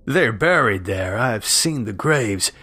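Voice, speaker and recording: A man voicing a gruff, commanding captain of an Old West party, firm and authoritative, in a storybook reading. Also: lowish voice, expressive, a little slow, pushed and bright, full character voice. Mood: firm, grave.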